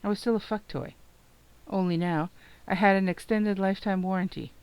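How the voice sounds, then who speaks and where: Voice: medium pitch, steady tone, moderate pace, a little mellow, clean tone, no room sound. A narrator reading a novel aloud in the first person, dramatically and expressively, acting out the emotion.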